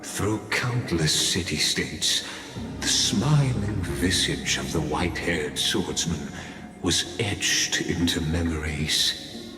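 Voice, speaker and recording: A man performing a solemn storyteller who narrates an epic game legend in a grave, measured, theatrical manner. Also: sits low. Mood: solemn, grand. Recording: long hall reverb, music behind.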